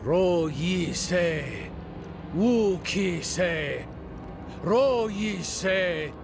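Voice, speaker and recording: A fantasy ritual chanter, drawn out and very slow. His raised, creaky voice intones solemnly, with music clearly behind it. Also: sits high, animated, heavily acted. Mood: intense, ritual. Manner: intoned, rising, deliberate. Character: solemn male ritual chanter invoking spirits. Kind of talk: incantation in a fantasy video game.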